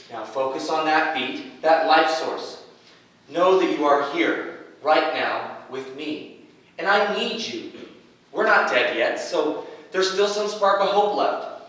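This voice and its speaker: A man's voice: a little high, takes it slowly, fairly bright tone. Man acting nervy, hopeful hero, echoey room. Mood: earnest encouragement. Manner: stammering, earnest, encouraging. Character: nervy but hopeful young hero with fire powers. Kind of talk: staged reading stage acting. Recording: echoey room, distant mic.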